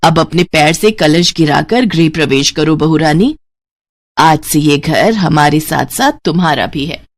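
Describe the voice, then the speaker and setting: A woman puts on an older mother-in-law for an animated moral tale. Her voice sits lower and sounds weary, with the measured scolding of a household elder. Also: full character voice. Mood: welcoming. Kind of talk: animated moral story character voice.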